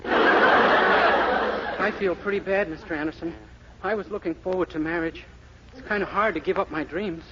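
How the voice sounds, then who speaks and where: Very noisy old radio recording, man acting as meek boyish American lad, high voice, earnest, plaintive, radio comedy. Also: normal speed, long pauses, dull audio, natural voice. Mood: glum. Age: young adult. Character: naive, meek, lovelorn young man, innocently dim.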